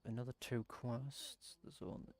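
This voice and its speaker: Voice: medium pitch, little pitch movement, a little slow. A video game streamer giving casual, rambling commentary while thinking aloud during play. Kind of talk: casual gameplay livestream commentary.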